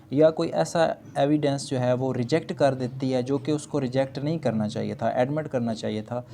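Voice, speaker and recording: A man's voice: mid-range voice, mellow, slight background noise. A man with a Pakistani accent giving an explanatory law lecture point by point, switching into English for legal terms.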